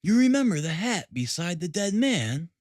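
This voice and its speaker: A man's voice: high-pitched, sing-song, moderate pace, a little mellow, no echo, studio quality, voice pushed up. A man voicing a smug, boastful police detective in an audiobook, chatty and emphatic. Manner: self-satisfied, emphatic, chatty.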